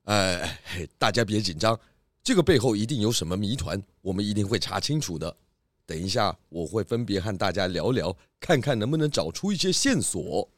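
A man's voice: lowish voice, lively intonation, normal speed, no echo, clean studio sound. A man voicing a composed, inquisitive pig detective in a children's audio drama, reassuring and probing, with a Taiwanese Mandarin accent.

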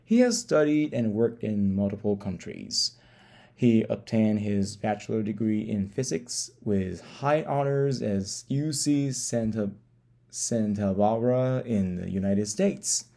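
Gravelly, low-voiced man hosting a podcast. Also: dark tone. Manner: halting, stumbling over words and repeating phrases. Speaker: podcast host. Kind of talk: interview, reading a guest introduction then asking questions.

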